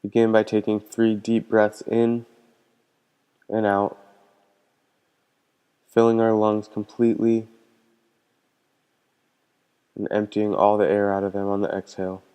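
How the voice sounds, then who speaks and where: Male meditation guide: mellow, smooth delivery, calm and gentle instruction with unhurried pauses. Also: lowish voice. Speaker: meditation teacher. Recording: long hall-like reverb.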